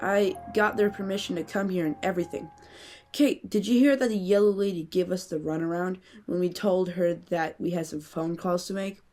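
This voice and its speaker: A woman's voice: on the low side, steady tone, moderate pace, darkish tone, faint music behind, natural voice. A woman playing an anxious, squeamish, brainy child, wordy and fretful, in a family audio drama. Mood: earnest, nervous. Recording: very echoey, distant, faint noise.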